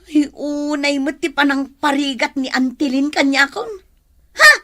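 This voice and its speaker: A defensive elderly wife in an Ilocano radio drama, played by an actress. Her voice is high and forceful, with a sharp, bickering tongue. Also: steady tone, measured pace. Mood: defensive, scolding.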